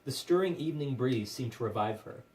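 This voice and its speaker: A man narrating a puppet show as dramatic storytelling, in a measured, theatrical, literary manner. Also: medium pitch, animated, a little mellow. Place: live puppet show performance, room. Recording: distant microphone with faint room noise.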